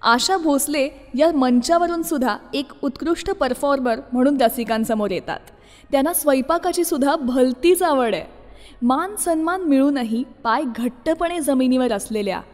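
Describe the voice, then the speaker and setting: A woman's voice: high voice, darkish tone, long reverb tail. A woman presenting a scripted radio show as its host.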